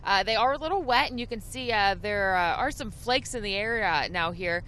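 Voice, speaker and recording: A woman field reporter giving a live TV report from a moving vehicle, with a halting delivery full of hesitations. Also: mid-range voice, fairly bright tone. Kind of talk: live TV traffic and weather report. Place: inside a moving vehicle on the road. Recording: road and vehicle noise behind voice.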